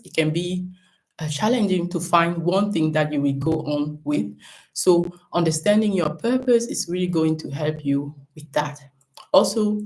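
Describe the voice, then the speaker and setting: A woman's voice: on the low side, a little mellow, rough recording. A woman from Cameroon, working as a business coach, talks to viewers in a live stream at home, encouraging them and thinking aloud with frequent "right?" and "um".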